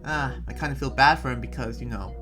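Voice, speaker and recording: Casting: male player as apologetic young town guardian for anime game roleplay, halting delivery, average pitch, music underneath. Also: pushed and bright, natural voice. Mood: uneasy, explaining. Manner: halting, hedging, sighing explanations. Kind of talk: improvised anime Minecraft roleplay.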